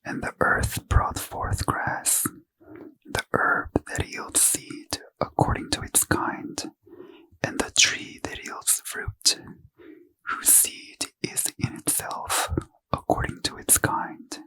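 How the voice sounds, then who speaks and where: A man reading scripture in a slow, soft unvoiced ASMR whisper as a host. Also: no room sound, low-quality recording.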